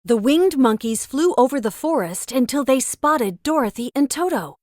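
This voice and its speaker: A woman's voice: sits high, lively intonation, moderate pace, dry recording, studio quality. A woman narrating a children's storybook as a scripted read-aloud.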